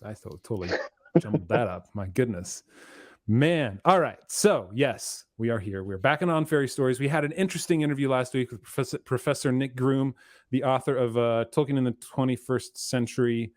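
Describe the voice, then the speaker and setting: A man hosts a casual podcast in an average-pitched voice. He talks in a wordy, informal, looping way. The sound is very dry, with little room in it. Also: brisk, no echo. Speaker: podcast host.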